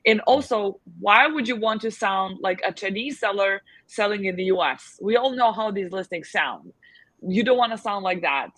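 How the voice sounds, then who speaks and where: A woman's voice: mid-range voice, a bit bright, no echo. A woman answering questions as a guest expert on a video call, chatty with many fillers and restarts. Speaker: guest expert on selling abroad. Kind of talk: casual advice in a video call Q&A.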